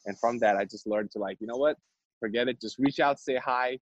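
Husky-voiced young man, casual and chatty. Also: medium pitch, fairly quick, no echo, lo-fi sound. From Vietnam. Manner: chatty with frequent fillers and restarts. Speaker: MBA student guest. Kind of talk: casual conversational interview.

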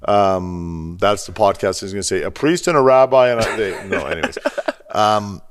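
A man's voice: fairly low pitch, normal speed, a little hiss or hum. A man with a Canadian accent hosting a podcast, chatting casually and agreeably with his guest. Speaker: podcast host. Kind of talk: casual interview chat.

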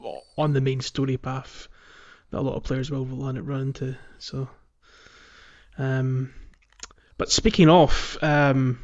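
A man's voice of middling pitch on a slightly dull, lo-fi recording. He is a podcast co-host chatting casually about video games, halting often as he thinks out loud. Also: little pitch movement. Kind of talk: casual video game discussion.

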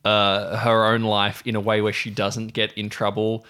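Male game master, lowish pitch, bright forceful tone, casual storytelling. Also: monotone, moderate pace. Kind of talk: casual tabletop game narration with banter.

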